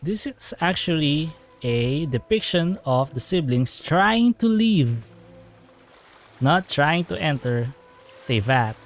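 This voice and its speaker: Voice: mid-range voice, animated, slow pace. A game streamer casually commenting on a story quest, musing and reacting aloud as they play.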